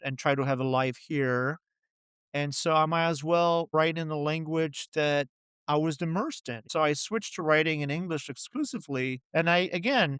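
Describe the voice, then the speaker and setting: A man's voice: a little high, fairly bright tone, smooth, no echo, clean studio sound. A middle-aged man from Poland, a poet and translator, answering interview questions in a reflective way with many "you know" and "right?" asides.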